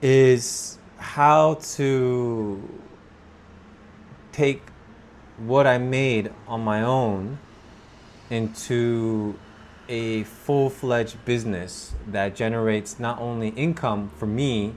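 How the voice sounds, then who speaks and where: Guy, thirties, talks real slow and thoughtful, mid pitch, bit of aircon hum. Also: fairly even intonation. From Korea. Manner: slow, thoughtful, with pauses and fillers. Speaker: English pronunciation teacher. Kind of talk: personal vlog talk.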